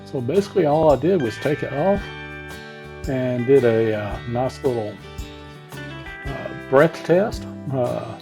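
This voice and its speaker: A man's voice: mid-range voice, unhurried, creaky, long pauses, over music. A man hosting a DIY auto repair video, explaining each step in a casual, plainspoken, folksy way. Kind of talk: casual hands-on how-to narration.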